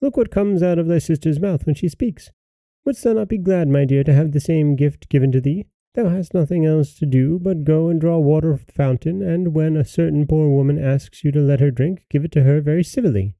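A man voicing a bossy, sharp-tempered mother in a storybook, exclaiming as she orders and scolds. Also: a little high, fairly quick, no room sound, voice pushed up, close to an everyday voice, low-quality recording. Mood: eager, urging. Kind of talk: storybook character reading.

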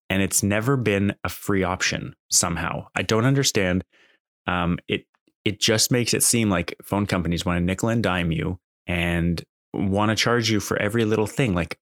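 A man's voice: low voice, no room sound, studio quality. A man talking casually off the cuff as a podcast host, in a conversational, exasperated, complaining way. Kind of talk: casual unscripted monologue.

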